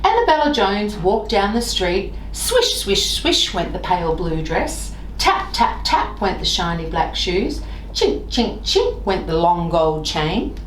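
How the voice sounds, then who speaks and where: A woman reading a children's storybook aloud in an expressive, deliberate way, with sing-song repeated phrases. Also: mid-range voice, distant mic. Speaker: library staff member reading stories. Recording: roomy echo, off-mic, faint noise.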